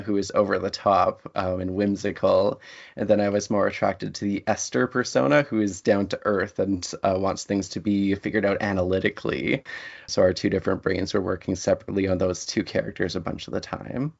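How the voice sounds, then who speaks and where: A man's voice: deep voice, little pitch movement, brisk, vocal fry. A man speaking as a writer being interviewed, answering in a thoughtful, articulate, reflective way. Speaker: interview guest, writer and lecturer. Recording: dull, lo-fi audio.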